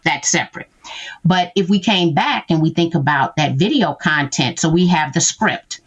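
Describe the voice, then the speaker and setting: A woman's voice: deep voice, expressive, normal speed, slightly rough, muffled recording. A woman guest talking through how she uses tools in her business, checking in often with "right?". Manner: explains with frequent "right?" check-ins. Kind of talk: conversational interview.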